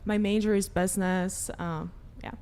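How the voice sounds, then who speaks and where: A woman's voice: medium pitch, steady tone, moderate pace, a little mellow. A twenty-year-old woman from Ukraine chatting casually as a podcast guest. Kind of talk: casual chat. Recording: clear background noise.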